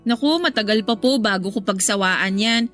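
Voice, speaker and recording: A woman's voice: medium pitch, normal speed, a bit bright, lightly acted. A woman playing a patient, reluctant young goddaughter with a Filipino accent, speaking respectfully and with restraint in a radio drama. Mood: polite, reluctant. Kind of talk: Tagalog radio drama acting. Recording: music behind the voice.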